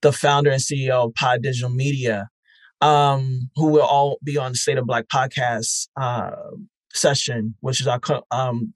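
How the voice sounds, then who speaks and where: A man's voice: medium pitch, steady tone, moderate pace, no room sound. A man, founder of a podcast festival, answering interview questions in a reflective way with many fillers and restarts.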